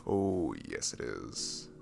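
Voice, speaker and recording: Man acting a deep-voiced, gravelly, sweet barista. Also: heavily acted. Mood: gentle, consoling. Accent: faint Southern US. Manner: soft, halting, chuckling, drifting into near-whispers. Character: cute, goofy, comforting young male barista, sweet and self-deprecating. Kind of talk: intimate ASMR roleplay to the listener.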